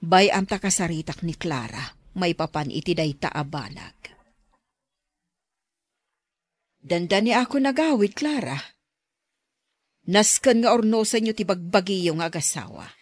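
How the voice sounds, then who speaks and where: A woman's voice: fairly low pitch, animated, moderate pace, no echo. Woman acting a concerned mother, dry close voice. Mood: firm. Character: concerned mother counselling a quarrelling married couple. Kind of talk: Ilocano radio drama acting.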